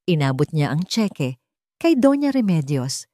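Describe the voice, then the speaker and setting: A woman's voice: low voice, very animated, measured pace, dark tone, no room sound. A woman with a Filipino accent reading a scripted dramatic story as its narrator.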